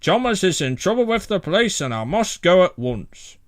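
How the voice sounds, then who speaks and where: A man's voice: high-pitched, sing-song, moderate pace, no echo, clean studio sound, pitched above the performer's own voice. A man voicing a pompous but kindly railway controller who blusters, in a children's storybook. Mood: blustery. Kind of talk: children's storybook character reading.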